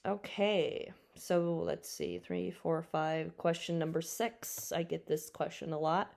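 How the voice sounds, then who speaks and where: A woman's voice: fairly low pitch, fairly even intonation, slow pace, gravelly, a little mellow. A woman in her forties hosting a solo podcast, answering questions off the cuff in a frank, rambling, matter-of-fact way. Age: 40s. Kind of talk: casual unscripted solo podcast talk.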